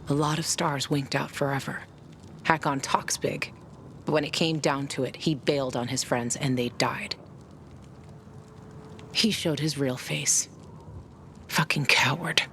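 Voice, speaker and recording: An actress playing a bitter, grieving young woman bent on revenge in a video game, speaking in a hushed, intense way. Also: very deep voice, steady tone, measured pace, long pauses, slight background noise, full character voice. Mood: bitter grief.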